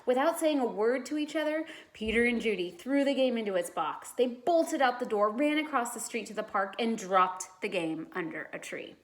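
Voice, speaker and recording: A woman's voice: a little high, expressive, normal speed, few pauses. A woman reading a children's storybook aloud like a teacher, expressively and with dramatic emphasis.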